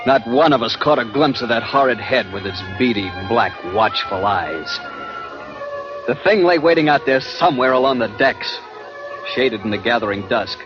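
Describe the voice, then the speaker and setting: An actor playing a grim, urgent adventurer who narrates in tense, deliberate, ominous 1940s radio drama style. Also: male voice, medium pitch, expressive, close to an everyday voice. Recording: muffled old radio broadcast, musical backing. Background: dramatic music.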